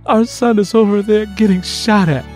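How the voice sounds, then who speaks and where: A man's voice: sits high, fairly even intonation, moderate pace, a little mellow, soft background music, voice pushed up, natural voice. A man voicing a distraught, fiercely protective mother in an audiobook drama, emotional and insistent. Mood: upset, angry.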